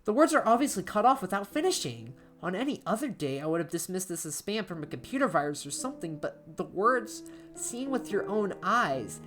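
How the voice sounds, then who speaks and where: A woman playing an anxious, isolated diarist in a horror story, reading in a halting, emotive way with music behind her. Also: mid-range voice, big pitch swings, close to an everyday voice. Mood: shocked, unsettled. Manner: halting, emotive, with stammered repeats. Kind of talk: dramatic first-person horror story narration. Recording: background music under voice.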